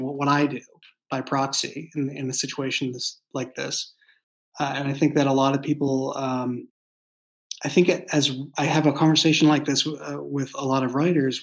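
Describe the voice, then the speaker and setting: A man speaking as a writer in a reflective interview, explaining his thoughts in a halting, thoughtful way. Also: mid-range voice, poor audio. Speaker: writer and show creator being interviewed.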